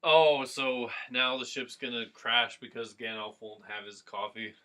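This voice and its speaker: A man's voice: mid-range voice, a little slow, sharp tone. A young adult man reading a story casually for comedy, breaking in with wry asides. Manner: wry, interjecting. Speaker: co-reader and wisecracking commentator.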